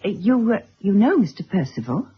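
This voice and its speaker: A woman's voice: mid-range voice, very animated, a little fast, slightly rough. An actress playing a reserved, anxious middle-aged upper-class mother, hesitant and formal, in a 1970s radio drama. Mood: flustered, uneasy. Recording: dull, noisy old radio recording.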